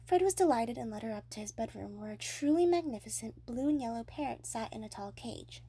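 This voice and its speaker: A woman's voice: fairly high pitch, lively intonation, fairly quick, mellow, slightly rough. A woman reading a children's story aloud as narrator. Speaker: volunteer audiobook reader.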